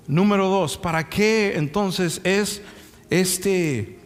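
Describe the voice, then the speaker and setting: A young male preacher speaks in a somewhat high voice over background music, in a hall with long reverb. He tells anecdotes conversationally and keeps checking in with rhetorical questions. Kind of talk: sermon with anecdotes. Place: church sanctuary.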